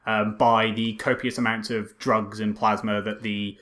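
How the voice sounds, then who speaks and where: A man's voice: on the low side, little pitch movement, a little slow, fairly bright tone. A man co-hosting a casual, jokey film discussion in a conversational way, repeating words as he thinks. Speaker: podcast co-host reviewing films.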